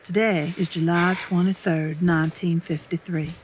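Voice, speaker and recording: A woman's voice: on the low side, steady tone, moderate pace, slight background noise, natural voice. A woman playing a devoted 1950s Southern wife, narrating reflectively. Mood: wistful, confiding. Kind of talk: theatrical monologue to an audience.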